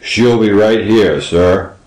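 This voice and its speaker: An actor playing a timid, servile old tavern keeper, humble and quavering, in a dramatised audiobook. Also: male voice, sits low, takes it slowly, a little mellow, roomy sound, rough recording. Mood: timorous, servile.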